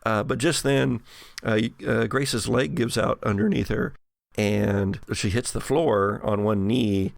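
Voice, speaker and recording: A man's voice: lowish voice, unhurried, vocal fry, no room sound, studio quality. A man running a horror tabletop game, improvising vivid narration with conversational asides. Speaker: game master.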